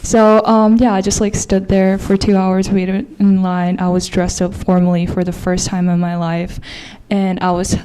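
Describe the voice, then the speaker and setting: A woman's voice: mid-range voice, flat delivery, moderate pace, mellow, clear, resonant tone, rough recording. A young adult woman from Nepal, a college senior, telling her immigration story casually with frequent fillers. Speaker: college student. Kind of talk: personal testimony.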